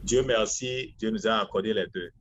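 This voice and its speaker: A man in his forties from Benin with a Beninese accent, answering interview questions in a halting, deliberate way. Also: on the low side, slight background noise. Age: 40s. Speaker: interview guest, lawyer.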